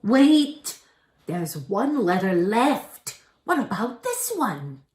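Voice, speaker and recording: A woman's voice: mid-range voice, very animated, very slow, a little mellow. A woman voicing a gullible, grumbling, money-loving goblin in a storybook reading. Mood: curious, eager. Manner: grumbling, simple-minded. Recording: roomy echo.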